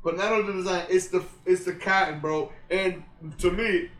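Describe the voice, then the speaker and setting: A man of Dominican heritage co-hosting a podcast in loud, swearing, laughing banter. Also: sits high, fairly even intonation, moderate pace, roomy sound, poor audio. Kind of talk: casual chat.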